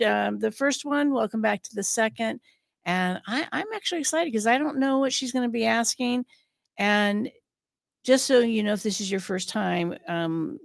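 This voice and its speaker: Creaky-voiced woman hosting a podcast. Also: mid-range voice, dry recording, clean studio sound. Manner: chatty, with frequent fillers and restarts. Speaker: podcast host and psychic medium. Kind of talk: casual podcast chat.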